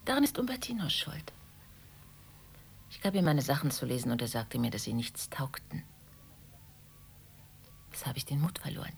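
An actress playing an anxious, chatty, apologetic middle-aged shopkeeper in a radio drama, rambling on politely and breathily. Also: female voice, rumbling low, big pitch swings, normal speed, vocal fry, long pauses, slight background noise, full character voice. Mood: wistful.

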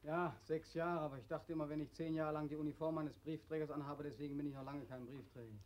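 An actor playing a tired, bitterly ironic young soldier back from war in a 1940s radio play, speaking quietly. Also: male voice, fairly high pitch, steady tone, fast, close to an everyday voice. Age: young adult. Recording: dull old radio recording.

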